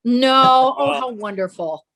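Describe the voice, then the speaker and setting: A woman's voice: high voice, takes it slowly, a bit bright. An older woman chatting casually as a guest, responding with admiring exclamations. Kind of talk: casual chat.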